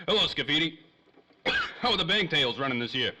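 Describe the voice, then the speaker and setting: A man's voice: on the high side, big pitch swings, fairly quick, background noise. An actor playing a tough, menacing former private detective in a 1930s-style radio crime drama, talking in clipped, slangy tough-guy lines. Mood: gruff, weary.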